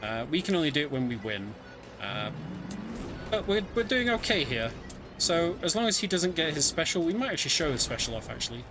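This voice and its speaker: A man giving casual, conversational live commentary on a video game speedrun, often pausing with ums. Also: on the high side, big pitch swings, noisy background. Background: game music.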